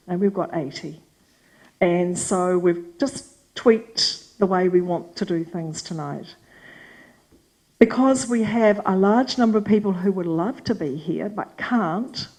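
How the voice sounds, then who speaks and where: A middle-aged New Zealand woman chairs a packed community meeting. Her voice is loud and teacherly, and she has a bossy streak. She talks in a chatty way, full of ums and uhs. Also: medium pitch, low-quality recording. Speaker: forum chair and facilitator. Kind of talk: welcoming remarks at a community forum. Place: meeting hall with a large audience.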